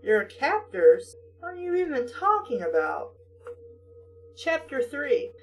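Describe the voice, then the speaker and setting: A high-pitched female voice, recorded at some distance from the mic. She reads a story aloud and keeps stepping out of it with mocking, questioning commentary. Her delivery is that of a sarcastic host talking back to the text. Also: very animated, slow, faint music behind. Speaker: host reading stories aloud. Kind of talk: story reading with mocking asides.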